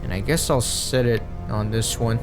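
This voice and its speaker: A man's voice: mid-range voice, normal speed, music in the background. A man hosting a gaming series, giving easygoing, casual commentary as he plays. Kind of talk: casual let's play commentary.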